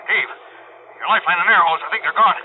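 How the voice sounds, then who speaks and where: A male radio actor plays a brisk sea captain with a fairly high voice, calling out urgently and giving orders. The vintage drama sounds narrow and tinny. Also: close to an everyday voice. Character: brisk, commanding salvage ship captain. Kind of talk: old-time radio drama acting. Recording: narrow-band vintage radio recording, faint noise.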